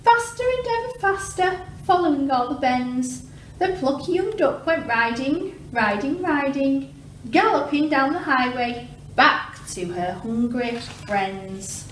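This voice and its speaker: Female children's story reader, animated intonation, measured rhythm, slightly distant mic with background noise. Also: high voice, roomy sound. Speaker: primary school teacher reading aloud. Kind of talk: children's storybook reading in rhyme.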